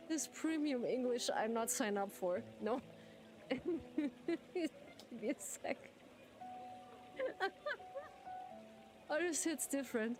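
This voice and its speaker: Giggly woman chatting slowly. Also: high voice, animated. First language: German. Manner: giggly, laughs often. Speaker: streamer. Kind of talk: casual chat with viewers. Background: game music.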